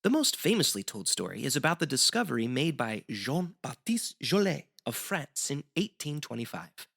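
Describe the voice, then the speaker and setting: A man with a bright, lively voice that dips into a creaky edge. He explains history as a show guest, articulate and wry, in the manner of scripted educational banter. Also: mid-range voice, moderate pace.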